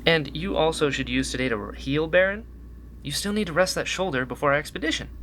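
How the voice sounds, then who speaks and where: A man playing a hopeful, excitable young rebel in a sci-fi audio drama, earnest and loyal. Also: fairly high pitch, fairly quick, a little hiss or hum. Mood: concerned.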